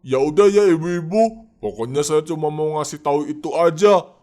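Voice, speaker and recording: A man's voice: on the high side, normal speed, noisy background, lightly acted. A man voicing a boastful, arrogant middle-aged village man in a cartoon, bragging and laughing at his own boasts. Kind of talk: cartoon voice acting.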